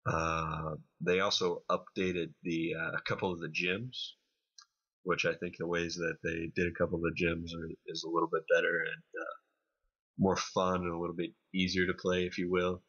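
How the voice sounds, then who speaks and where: A man co-hosting a podcast, giving a casual video game review in a hesitant, explanatory way with many pauses. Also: deep voice, monotone, pushed and bright, breathy edge, lo-fi sound. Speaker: podcast co-host reviewing games.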